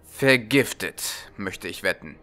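A male narrator plays a suspicious fantasy emperor. He is blunt and commanding, with a forceful edge and a pitch that moves freely as he broods in this storybook reading. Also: expressive, slow, soft background music, exaggerated voice. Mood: suspicious, grumbling.

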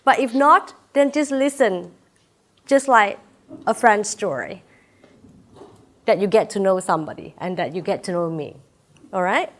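A woman's voice: mid-range voice, unhurried, pauses often. Malaysian woman, 30s, native Chinese speaker; chatty, personal keynote speaker, feverish, filler-heavy delivery. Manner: chatty, personal, laughs often, frequent "uh" fillers. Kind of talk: inspirational talk. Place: lecture room with audience.

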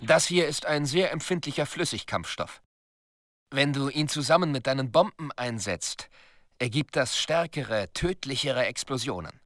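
A man's voice: mid-range voice, lively intonation, normal speed, a bit bright, no echo, studio quality, close to an everyday voice. A man voicing a stern military commander in a video game, giving curt, commanding instructions. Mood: brisk, instructing. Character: stern male military commander briefing a soldier.

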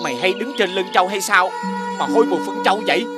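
A man with a southern Vietnamese accent playing a gullible, grumbling middle-aged peasant in an animated fairy tale, comically whiny and bossy. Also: high-pitched, fast talker, close to an everyday voice. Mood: eager, impatient. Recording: loud music behind the voice.

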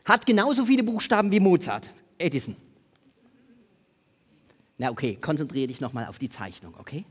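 A man in his forties presenting a live magic act on a theatre stage, with playful, showmanlike patter and deliberate pauses. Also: a little high, expressive, slightly rough. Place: large theatre stage with seated audience. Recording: music playing behind the voice. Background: music.

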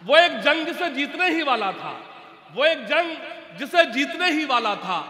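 A man's voice: very high-pitched, big pitch swings, unhurried, bright, forceful tone. A man in his forties reciting Urdu couplets on an outdoor stage, repeating each line, sometimes singing them and joking with the crowd. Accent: North Indian Urdu. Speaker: poet. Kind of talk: Urdu poetry recital, partly sung. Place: outdoor stage at a stadium poetry gathering. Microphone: stand mic on stage. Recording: echoey PA sound with long reverb.

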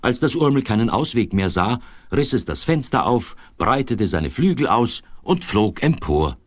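A man narrating a 1970s children's radio play in a measured, expressive way that builds suspense. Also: mid-range voice, natural voice. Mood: suspenseful. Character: male narrator of a whimsical children's tale.

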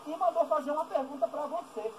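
A man with a high voice plays the teasing interviewer, prodding his guest with fan questions. He sits off the mic, so he sounds distant and roomy. Light noise runs behind him. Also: fast, a little mellow. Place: outdoors by a pool at a country house.

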